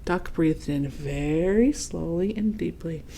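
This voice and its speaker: A woman reading a children's storybook aloud expressively, pausing to ask her young listeners questions and add comments. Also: low-pitched, creaky, dark tone, a little hiss or hum. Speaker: read-aloud host.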